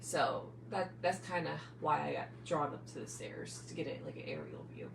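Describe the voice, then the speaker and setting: An echoey, off-mic recording with faint noise carries an American woman's casual podcast chat. She speaks earnestly and with emphasis, at a middling pitch. She is from New Jersey. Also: very animated, normal speed, creaky, recorded from a distance. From New Jersey, United States. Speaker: podcast co-host, paranormal investigator. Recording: echoey room, off-mic, faint background hum.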